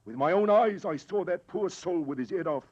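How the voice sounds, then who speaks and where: Husky old Cornish groom. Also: male voice, sits high, animated, moderate pace, darkish tone. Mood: ominous, insistent. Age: older. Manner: rustic dialect, solemn storytelling. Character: superstitious old Cornish groom, deferential but insistent. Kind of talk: 1940s radio acting. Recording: dull old radio recording.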